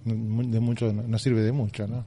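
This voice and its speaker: A man's voice: lowish voice, little pitch movement, moderate pace, dark tone, a little hiss or hum. A man with a Buenos Aires accent hosting a casual interview, agreeable and often chiming in with confirmations. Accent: Argentine, Buenos Aires. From Argentina. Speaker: radio show host, interviewer.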